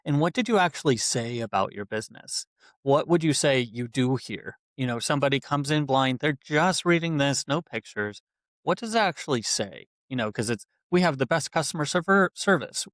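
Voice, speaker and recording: A man hosting a casual podcast interview, relaxed and conversational, with an easy laugh and a lot of filler words. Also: on the high side, normal speed, no echo. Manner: relaxed, conversational, laughs easily, many fillers like "you know". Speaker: podcast host, website builder.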